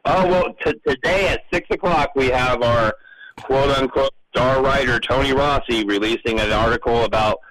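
A man's voice: mid-range voice, moderate pace, low-quality recording. A man calling in to a radio show as a football reporter, giving casual commentary. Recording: radio call-in with crowd noise behind.

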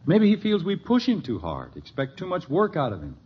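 A man's voice: on the high side, huge pitch swings, fairly quick, husky, full character voice. An actor playing a well-meaning, easily exasperated middle-aged American father in a 1950s radio sitcom, earnest and blustering. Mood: puzzled concern. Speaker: actor in a family situation comedy. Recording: muffled, noisy old radio recording.

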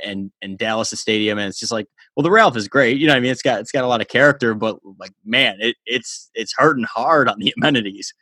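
A man's voice: medium pitch, sharp tone, no room sound. A man hosting a sports podcast interview, chatty and casual with lots of fillers and laughter. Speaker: podcast host.

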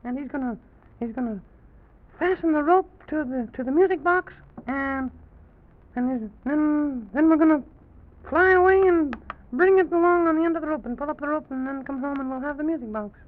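A man voicing an excitable, bumbling, boastful little president who stammers and repeats his words in an old children's radio story. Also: extremely high pitch, moderate pace, voice pushed up. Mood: determined, proud. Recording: dull, muffled old radio recording.

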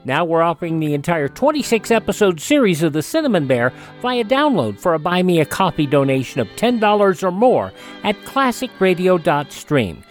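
A man hosting a classic radio show with scripted introductions and promos. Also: high-pitched.

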